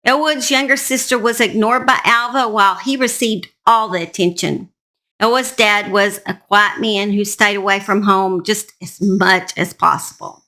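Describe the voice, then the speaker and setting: A woman's voice: mid-range voice, moderate pace, bright, forceful tone. A woman with a Southern US accent, earnestly narrating true crime stories as an online host. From Southern United States. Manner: earnest, serious.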